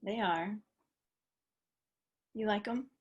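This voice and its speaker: Actress as a wounded, street-hardened homeless woman, mid pitch, taunting and seductive, raw stage drama. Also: slow pace, breathy edge, lo-fi sound. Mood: tender, guarded. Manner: mocking, needling, raw. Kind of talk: dramatic table reading.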